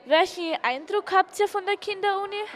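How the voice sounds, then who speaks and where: A woman's voice: extremely high pitch, normal speed, over loud music. A young girl, asking questions in an informal radio interview. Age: child, about 8 to 12.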